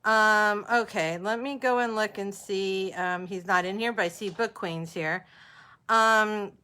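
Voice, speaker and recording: A woman's voice: mid-range voice, a little slow, bright, forceful tone, smooth. A woman hosting an author interview livestream, chatting casually and informally with viewers as she goes.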